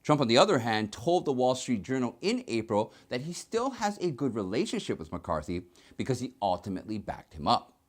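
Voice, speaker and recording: A man reads scripted news commentary as a host. His pitch is average for a man but swings very widely from phrase to phrase. He explains things in an emphatic presenter's manner. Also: big pitch swings, fairly quick, hardly any pauses.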